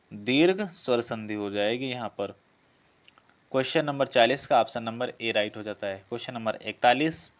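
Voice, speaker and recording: Man, medium pitch, coaching teacher, didactic, repetitive explanations, exam practice lesson. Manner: didactic, repeats key phrases and asks himself questions. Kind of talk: test-prep lesson, working through questions.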